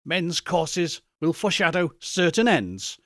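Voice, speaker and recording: A man's voice: on the high side, sing-song, measured pace, a bit bright, no echo, studio quality, pitched above the performer's own voice. A man voicing a frightened old miser who begs a ghost for answers, earnest and beseeching in an audiobook reading. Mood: fearful begging. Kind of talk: audiobook character voice.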